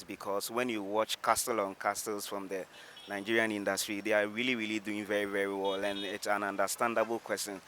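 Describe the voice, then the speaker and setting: A Ghanaian man speaks in a fairly low voice, setting out his opinion earnestly and repeating words to stress them. Light urban noise sits behind him in an outdoor interview. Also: fairly bright tone. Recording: noisy outdoor urban recording.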